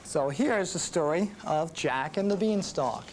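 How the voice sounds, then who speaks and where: A woman narrating a children's story in simple, deliberate phrases, with musical instruments behind her. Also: deep voice, animated, very noisy.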